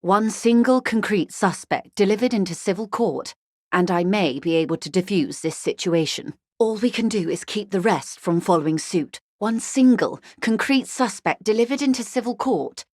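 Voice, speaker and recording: A woman voicing a composed, shrewd corporate negotiator in a video game, speaking in a measured, formal, articulate way with frank gravity. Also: medium pitch, lively intonation, darkish tone, dry recording, clean studio sound, lightly acted. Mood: grave warning. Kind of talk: scripted video game character dialogue.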